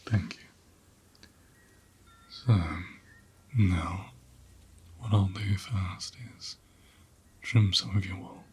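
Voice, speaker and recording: A man playing a gentle, caring ram in an ASMR roleplay, speaking in a soft, breathy half-whisper with a soothing, tender manner. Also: on the low side, steady tone, very slow, pauses often, exaggerated voice. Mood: soothing, reassuring. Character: gentle, caring male ram tending an injured lamb.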